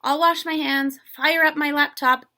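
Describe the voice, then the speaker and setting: A woman voicing an eager schoolgirl in a children's storybook, earnest, brisk and bossy as she takes charge. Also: sits high, fairly even intonation, bright, forceful tone, pitched above the performer's own voice. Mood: eager, determined. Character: eager schoolgirl taking charge of a germ mystery.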